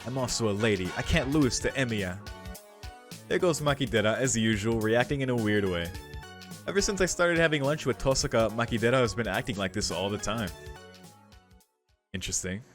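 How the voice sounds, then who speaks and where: A man's voice: medium pitch, animated, fairly quick. A man doing a casual game playthrough, reading text aloud and commenting, with game music underneath. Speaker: game streamer.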